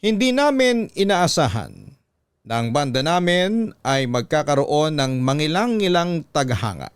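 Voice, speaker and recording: A man tells a story in a measured radio narration style. His voice is smooth and resonant, a little high for a man, captured close and dry in a studio. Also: very animated, no echo. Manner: measured, reading a letter in first person. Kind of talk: dramatic scripted radio narration. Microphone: close studio microphone.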